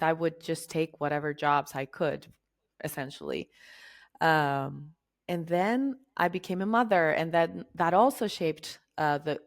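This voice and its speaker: A woman in her forties from Argentina, a chatty workshop facilitator telling her life story in an interview. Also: low-pitched. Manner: chatty, jokey and laughing easily. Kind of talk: conversational interview.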